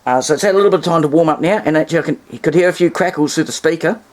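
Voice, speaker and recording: A New Zealand man talks in a fairly high voice. He rambles casually through a hands-on demonstration with a wry sense of humour. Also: racing. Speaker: hobbyist presenter.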